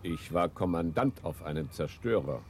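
An actor playing a hearty, gallant, hard-drinking former navy commander from Hamburg, bluff and flattering, in a theatrical radio drama. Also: male voice, sits low, moderate pace, gravelly, slight background noise. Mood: hearty, boastful. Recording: faint music behind.

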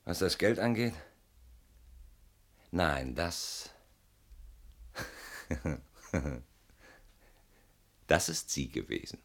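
A weary, bitter man haunted by guilt in a radio drama. His low voice swings widely in pitch, from murmured confession to theatrical outburst. He speaks as if pleading with an old friend. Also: lively intonation, extremely slow, pauses often, heavily acted. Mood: sardonic confession. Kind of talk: German radio play monologue.

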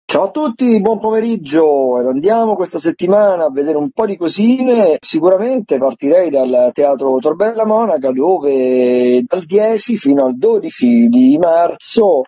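Man, fairly high pitch, dark timbre, very husky, informal radio reviewer, dull lo-fi sound. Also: animated, measured pace, few pauses, no room sound. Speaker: theatre critic on radio. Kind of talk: unscripted radio listings review. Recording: muffled lo-fi radio call.

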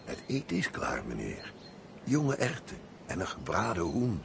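An old manservant in a radio drama, devoted and deferential. The voice is extremely deep and creaky, with expressive rises and falls. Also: male voice, unhurried, background noise, full character voice. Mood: meek, concerned. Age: older. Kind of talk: Dutch radio play acting.

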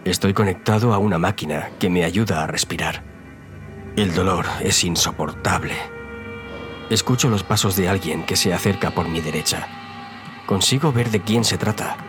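First-person horror narrator, injured and fearful, male actor with very low pitch, over clear music. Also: moderate pace, close to an everyday voice. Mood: pained, tense. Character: injured, frightened man narrating his own nightmare. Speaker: narrator in audio fiction.

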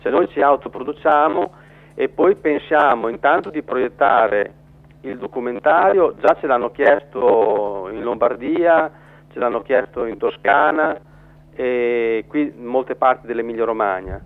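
Over a thin, tinny phone connection, a man answers as an interview guest. His voice is mid-pitched, and he explains in a halting way, often doubling back on words. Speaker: metalworker, journalist and documentary co-director, interview guest. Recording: narrow, tinny phone line.

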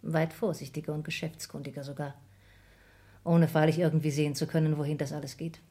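A cold and ambitious heiress to an industrial empire in a radio play. Her voice sits very low for a woman. She speaks with cool, precise, formal German diction, as a hard woman of business. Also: flat delivery, a little fast, mellow. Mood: sharp reproach. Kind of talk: German radio drama acting.

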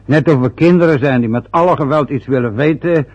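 A man's voice: fairly high pitch, brisk, a little mellow, breathy edge. An actor playing a bitter middle-aged father who grumbles and reproaches his son. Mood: reproachful. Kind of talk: radio play acting. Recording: dull lo-fi old radio recording.

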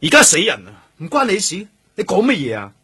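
An actor playing a sharp, demanding police investigator in a radio drama, firing probing, insistent questions in Hong Kong Cantonese. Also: male voice, high voice, wildly swooping pitch, fast talker, slightly rough, dry recording, studio quality, exaggerated voice. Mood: challenging.

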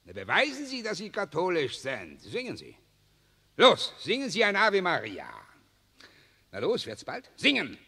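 Casting: grausamer, spöttischer SS-Offizier, heller rauer Ton, sehr bewegte Stimme, Hörspiel der 60er mit Nachhall. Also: male voice, high voice, wildly swooping pitch, measured pace, bright, forceful tone, breathy edge, heavily acted. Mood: mocking. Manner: sneering, taunting, barking orders. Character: sadistic mocking male SS officer. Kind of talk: 1960s German radio play acting. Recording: reverberant old mono radio play.

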